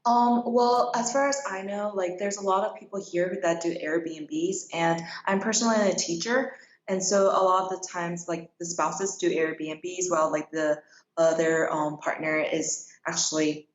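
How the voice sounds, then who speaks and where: A woman's voice: fairly low pitch, fairly even intonation, unhurried. A young adult woman from South Korea who grew up in Kenya, chatting casually as an interview guest and peppering her answers with "like". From South Korea, raised in Kenya. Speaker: interview guest, middle school teacher. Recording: roomy, dull lo-fi sound.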